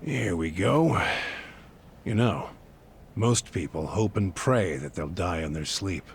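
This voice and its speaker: An actor playing a calm, menacing male killer who speaks in clipped, businesslike phrases, in a video game dub. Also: deep voice, slow, creaky, slight background noise, exaggerated voice. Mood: cold. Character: cold, calculating male hitman posing as a guard. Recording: game cutscene with music behind.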